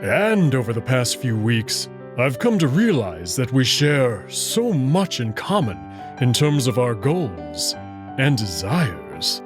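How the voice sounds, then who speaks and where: Man playing an earnest wolf suitor, wildly swooping pitch. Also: very animated, music in the background. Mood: earnest, formal. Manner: formal, halting, earnest phrasing. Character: earnest, nervous male wolf alien courting shyly.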